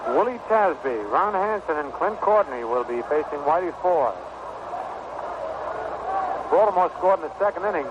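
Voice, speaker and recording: Male American baseball announcer for 1960s radio, fairly high voice, clipped calls, very noisy broadcast sound. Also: lively intonation, moderate pace, pauses often, highs cut off. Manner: clipped, short descriptive phrases. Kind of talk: 1960s radio sports commentary. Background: loud ballpark ambience and static.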